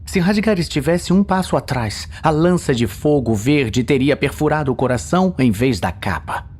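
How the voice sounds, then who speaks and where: A man's voice: fairly high pitch, lively intonation, moderate pace, a little mellow. A man with a Brazilian accent narrating a scripted fantasy audio story in a dramatic style. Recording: voice over a music soundtrack. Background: music and sound effects.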